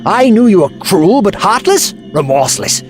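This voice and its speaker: A man's voice: high voice, big pitch swings, moderate pace. A man playing an eccentric, witty time-travelling Doctor in an audio drama, wry, theatrical and articulate. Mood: outraged. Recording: music behind.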